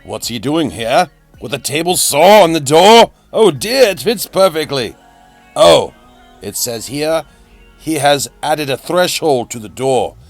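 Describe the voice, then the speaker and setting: A man giving a melodramatic, whimsical storybook-style narration of a DIY build, repeating phrases for effect, in a gravelly voice. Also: a little high, animated, measured pace, dry recording. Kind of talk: theatrical storybook-like narration of a hobby build video. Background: music.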